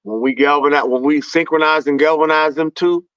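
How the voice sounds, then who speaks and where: A man's voice: fairly high pitch, steady tone, brisk, fairly bright tone, smooth, no room sound, muffled recording. A man talking with a fervent, preaching cadence in an impassioned conversation.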